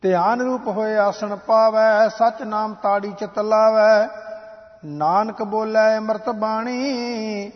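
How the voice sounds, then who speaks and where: A man singing devotional scripture in a slow, drawn-out sung recitation. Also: high voice, steady tone. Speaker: hymn singer. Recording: dull lo-fi recording with long reverb.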